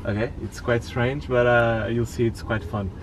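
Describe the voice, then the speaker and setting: Calm man instructing from a car seat. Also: mid-range voice, moderate pace, noisy background. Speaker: driving instructor. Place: inside a car.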